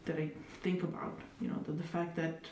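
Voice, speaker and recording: A woman's voice: low voice, level tone, brisk, a little mellow. A young woman, speaking thoughtfully as an interviewee, with fillers and dry humor. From Italy. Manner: thoughtful, many hedges and fillers, wry humor. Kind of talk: reflective conversational interview. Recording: echoey room, distant mic, faint noise.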